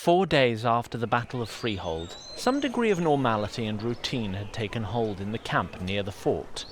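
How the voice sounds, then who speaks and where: A man's voice: mid-range voice, very animated, moderate pace, a little hiss or hum. A man reading scripted narration for a fantasy audio drama. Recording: music under the voice. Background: music.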